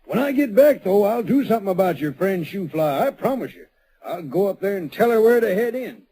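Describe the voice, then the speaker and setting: A folksy western sheriff whose voice bobs up and down with lots of expression. The tone is a little high and soft-edged, never harsh. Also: male voice, expressive, fairly quick, slight background noise. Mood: placating. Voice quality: folksy drawl. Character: easygoing, evasive frontier sheriff. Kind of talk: 1940s radio acting.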